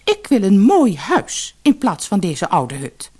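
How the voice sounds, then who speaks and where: A woman's voice: medium pitch, huge pitch swings, takes it slowly, dark tone, slightly rough, voice pushed up, exaggerated voice. A woman voicing a demanding, never-satisfied fisherman's wife with haughty airs in a fairy-tale audiobook. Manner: imperious, complaining.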